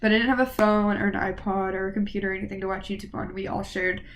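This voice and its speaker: A woman's voice: mid-range voice, fairly even intonation, fast talker, slight background noise. A woman in her late teens chatting casually to camera as a vlogger, rambling and gushing, a bit sniffly from a cold. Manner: rambling, gushing fan talk.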